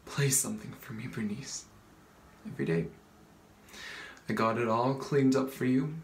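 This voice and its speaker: A young man acts out a grieving, accusing family member in a stage play. The delivery is emphatic and repetitive, at a middling pitch. It sits in an echoey room with faint noise behind. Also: normal speed, lightly acted. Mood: mournful. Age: young adult. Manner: emphatic, repeating phrases. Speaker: student actor. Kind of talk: dramatic stage monologue. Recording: roomy echo with background noise.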